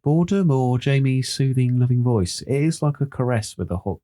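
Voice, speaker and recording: A man co-hosting a podcast in casual chat, teasing his co-host with jokey banter and quick asides. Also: mid-range voice, expressive, dark tone.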